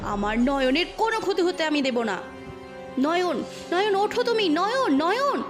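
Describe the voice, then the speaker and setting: A woman's voice: very high-pitched, big pitch swings, normal speed, music in the background, pitched above the performer's own voice. A woman voicing a desperate husband in a horror story, crying out urgently and frantically. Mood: desperate, defiant. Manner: urgent, crying out, repetitive calling.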